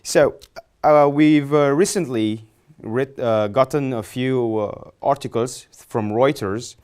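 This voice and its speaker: A man's voice: mid-range voice, takes it slowly, darkish tone, clear, resonant tone. Young man asking hesitant questions. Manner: hesitant, polite, self-correcting questions. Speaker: interview host. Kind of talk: studio interview questions. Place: studio set, indoors.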